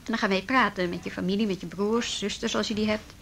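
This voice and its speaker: A woman acting a brisk, persistent policewoman in a radio drama, firm and probing as she questions people. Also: medium pitch, steady tone, vocal fry, fairly bright tone, a little hiss or hum. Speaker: police officer.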